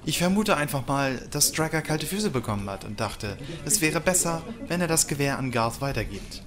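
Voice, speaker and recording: A man's voice: a little high, sing-song, normal speed, over music. A man playing a blunt, defiant, sardonic pilot in an audio drama. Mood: explaining. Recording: faint background ambience noise.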